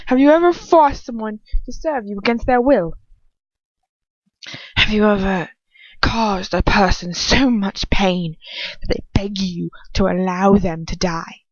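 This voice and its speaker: A woman's voice: mid-range voice, measured pace, no echo, muffled recording, lightly acted. A woman reading the lines of a smug, condescending aristocratic male wizard who lectures about courage. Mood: lecturing.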